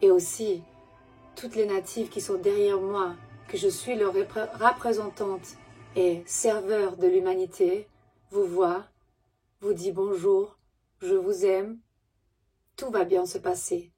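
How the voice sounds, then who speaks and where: Woman, very breathy, slow, gentle spiritual message, non-native speaker, faint music behind. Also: medium pitch, fairly even intonation. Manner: gentle, soothing, halting with pauses and self-corrections; speaks French as a second language. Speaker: spiritual messenger.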